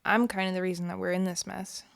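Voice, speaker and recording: A woman's voice: lowish voice, little pitch movement, fast talker, vocal fry, clean tone, no echo. A woman acting a worried, self-blaming character in an audio drama, speaking in a hesitant, subdued way. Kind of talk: naturalistic audio drama dialogue.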